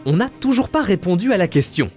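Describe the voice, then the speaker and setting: A man's voice: high-pitched, sing-song, brisk, darkish tone. A man in his twenties narrating a scripted video essay with earnest, dramatic delivery. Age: 20s. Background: faint music.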